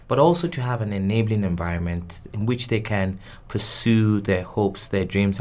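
A man with a lowish voice who grew up speaking Yoruba answers interview questions in English. He thinks aloud, restarting phrases and repeating words as he builds each point. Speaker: guest expert.

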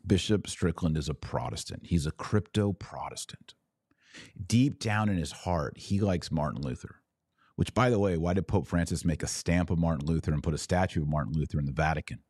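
A man with a low-pitched voice delivers opinionated religious commentary as a podcast host. He is emphatic and argumentative, stretching out the words he wants to stress. The sound is dry, with very little room around the voice. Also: a little fast, a little mellow, breathy edge, no room sound.

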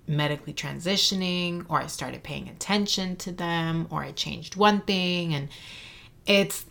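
A woman's voice: on the low side, creaky, a bit bright. A woman guest in an interview, talking thoughtfully and searching for words with frequent fillers. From Mexico City and San Antonio, Texas. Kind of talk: reflective interview conversation.

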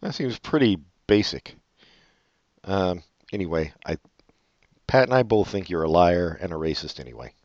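Low-voiced dude cracking crude sarcastic jokes, dry muffled audio. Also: male voice, lively intonation, normal speed, pauses often, a little mellow, no room sound. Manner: sarcastic, profane, jokey. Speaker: podcast co-host. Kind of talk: casual chat, reading advice letters.

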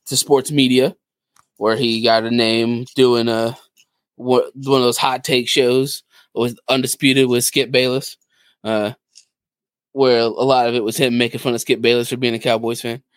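A man's voice: medium pitch, flat delivery, smooth, dry recording. Guy hosting a podcast, mid voice, slangy and jokey, super dry sound. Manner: slangy, jokey, quick to laugh. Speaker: podcast host. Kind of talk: casual podcast chat.

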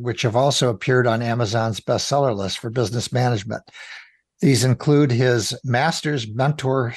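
A man's voice: medium pitch, no echo. An older man hosting an interview show, formally reading introductions and asking questions. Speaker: host.

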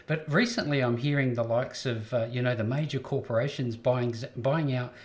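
A man's voice: medium pitch, steady tone, moderate pace. A man hosting an interview show, asking questions with upbeat, encouraging interjections. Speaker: show host.

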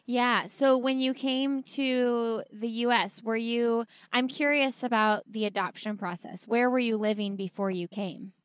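A woman's voice: on the high side, fairly even intonation, unhurried, hardly any pauses, clean tone, dry recording. A woman hosting a podcast, asking curious, eager questions in casual conversation. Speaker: podcast host.

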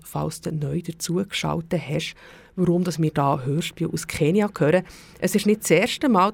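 A woman's voice: lowish voice, creaky, darkish tone. A woman with a Swiss German accent presenting on radio in a conversational way, speaking directly and informally to the listener. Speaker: radio host.

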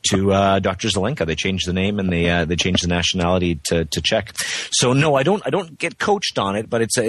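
Low-voiced man, halting and friendly. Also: fairly bright tone, no room sound. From Canada, West Coast. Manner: halting, many restarts, friendly. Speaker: actor, interview guest. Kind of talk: casual interview over the phone. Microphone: remote call.

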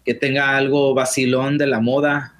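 A man's voice: mid-range voice, level tone, normal speed, a bit bright. A man talking casually in a panel chat, often dropping English words into his speech.

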